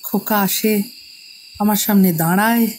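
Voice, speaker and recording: A woman's voice: mid-range voice, a little slow, a little mellow, a little hiss or hum, close to an everyday voice, rough recording. An actress playing a grieving, insistent mother, an eager séance client in an audio horror drama. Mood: rapt longing.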